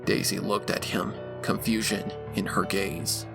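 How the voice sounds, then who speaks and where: Gravelly, low-voiced male storyteller. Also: steady tone, a little slow. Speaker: narrator. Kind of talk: dramatic scripted story narration. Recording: music behind the voice.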